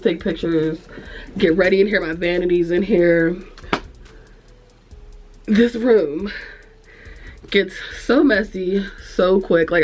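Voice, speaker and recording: A young woman with a congested, nasal voice, rambling frankly to camera in a room at home, easily distracted and cursing. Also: mid-range voice. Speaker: vlogger. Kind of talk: casual chat to camera.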